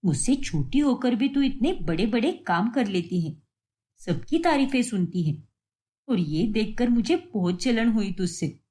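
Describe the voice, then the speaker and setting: A woman's voice: fairly high pitch, very animated, unhurried, mellow. A woman voicing a jealous daughter-in-law in a moral-story cartoon. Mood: bitter, jealous. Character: jealous, scheming daughter-in-law, later repentant.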